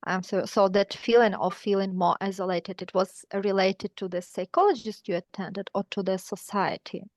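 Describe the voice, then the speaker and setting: A woman's voice: medium pitch, fairly even intonation, normal speed, a little mellow, dry recording, studio quality. A Ukrainian woman working as a consecutive interpreter on an online call, with a Ukrainian accent. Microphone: online call microphone. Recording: dull, muffled online call audio.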